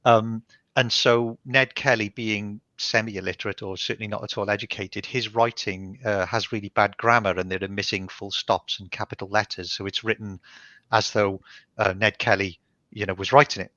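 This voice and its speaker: A man's voice: lowish voice, steady tone, normal speed, sharp tone, no echo, muffled recording. A man with a Welsh accent from Barry in South Wales, an author explaining his choices in a reflective, restarting way over online call audio. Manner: reflective, with frequent restarts and ums. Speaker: author being interviewed. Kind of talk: thoughtful conversational explanation.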